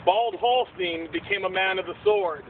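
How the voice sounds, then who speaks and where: A man playing a storybook bard, narrating a puppet show in measured, declamatory verse. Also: high-pitched, crowd noise, lightly acted. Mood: solemn. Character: storybook bard narrator telling a seaside legend. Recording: tinny outdoor recording, faint noise.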